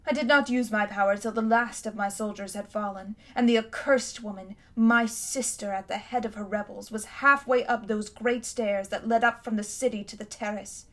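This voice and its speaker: A woman voicing a cold, imperious tyrant queen in a storybook reading, speaking loudly and grandly. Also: a little high, fairly even intonation, normal speed, close to an everyday voice. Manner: haughty, commanding, grand.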